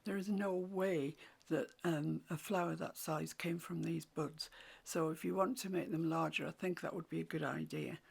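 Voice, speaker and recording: A woman with a croaky voice, teaching a watercolour painting tutorial in soft, often half-whispered instructions. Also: low voice, steady tone, moderate pace, few pauses. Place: home room with a mantelpiece.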